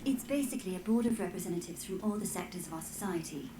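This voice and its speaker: A woman playing a composed, matter-of-fact scholarly advisor, measured and explanatory, in a fantasy video game. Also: lowish voice, expressive, echoey room, noisy background, natural voice. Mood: composed, explaining.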